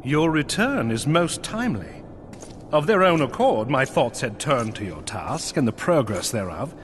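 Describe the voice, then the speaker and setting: Casting: gracious lord of a knightly outpost, fantasy game; formal old-world diction, highly varied intonation. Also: male voice, very animated, unhurried, slight background noise. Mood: welcoming, curious. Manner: formal, archaic, courtly phrasing. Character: earnest, gracious nobleman commanding a snowbound knightly outpost. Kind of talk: scripted fantasy game dialogue.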